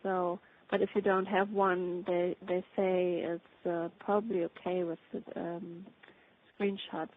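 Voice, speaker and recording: A woman's voice: on the low side, little pitch movement, measured pace. Hesitant woman on a narrow phone line. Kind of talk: casual work call. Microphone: phone or conference call. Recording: muffled narrow phone-like call.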